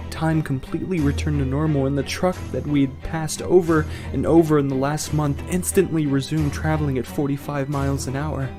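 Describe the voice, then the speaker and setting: A man acting an anguished family man in a fiction podcast, narrating in the first person with halting, emotional delivery. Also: a little high, natural voice. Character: anguished family man trapped in frozen time. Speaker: narrator and lead actor in an audio drama.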